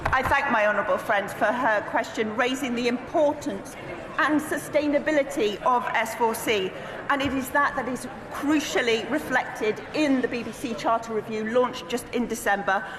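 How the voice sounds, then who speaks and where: A woman with a Welsh accent answers questions in a measured, formal way, her fairly high voice carrying through a large echoing chamber. A crowd murmurs around her, and the long reverb makes her sound a little distant. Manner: measured, formal parliamentary delivery. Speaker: government minister. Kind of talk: parliamentary answer.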